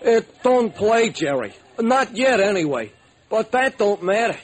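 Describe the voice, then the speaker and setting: An actor playing an eager, gullible, good-hearted ex-crook in an old-time radio drama, with folksy, excitable, slangy speech. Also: male voice, high voice, measured pace. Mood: proud, cheerful. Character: eager, gullible, good-hearted ex-burglar diner owner, male. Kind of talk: old-time radio comic sidekick acting. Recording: slightly noisy old radio recording.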